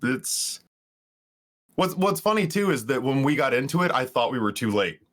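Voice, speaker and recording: A man in his thirties with a baritone voice, a streamer telling rambling, self-deprecating stories as a podcast guest. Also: very animated, normal speed, a bit bright. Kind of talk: casual podcast chat.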